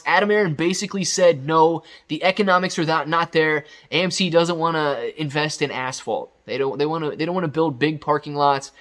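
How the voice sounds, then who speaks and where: Higher-pitched man, enthusiastic recap host. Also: a bit bright. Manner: emphatic, enthusiastic, conversational. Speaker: video host recapping company news. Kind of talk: casual off-the-cuff commentary addressed to viewers.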